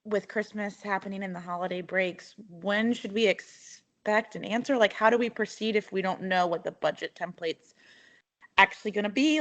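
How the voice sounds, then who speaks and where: A woman's voice: medium pitch, fairly bright tone. A woman taking part in a work conference call, asking questions in an informal way. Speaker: meeting participant. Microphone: online conference call.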